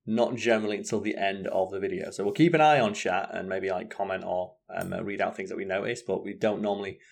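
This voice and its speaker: A man's voice: low-pitched, fast, creaky. An English man co-hosting a live stream from home, chatting casually in a rambling way and swearing freely. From England. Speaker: co-host.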